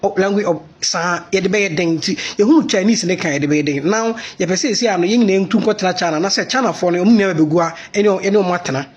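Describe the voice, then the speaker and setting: A man's voice: high voice, fast, hardly any pauses, breathy edge. A Ghanaian man with a Ghanaian accent giving an impassioned commentary monologue, mixing Twi and English.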